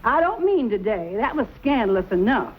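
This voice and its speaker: A woman's voice: fairly high pitch, big pitch swings, moderate pace, slightly rough. An actress playing a stern but caring middle-aged frontier mother in an old-time western drama, scolding in a folksy way. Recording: muffled, hissy old radio-era recording.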